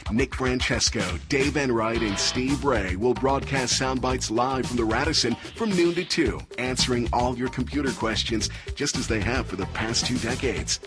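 A man reading a scripted radio station promo in a smooth, polished way. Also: medium pitch, moderate pace, hardly any pauses. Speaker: station announcer. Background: music bed.

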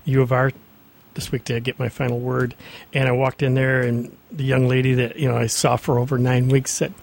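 A man's voice: medium pitch, little pitch movement, fairly quick, vocal fry. A man in his sixties co-hosting a radio show, teasing the host and telling stories in a casual studio chat. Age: 60s. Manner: teasing, storytelling.

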